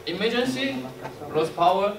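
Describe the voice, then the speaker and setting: A young man, a non-native English speaker, explaining technical steps in a workshop with halting, fragmented phrasing. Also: high voice, expressive. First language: not English. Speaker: trainer. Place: indoor workshop room with small audience. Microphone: distant camera microphone. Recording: roomy, far from mic, noisy room.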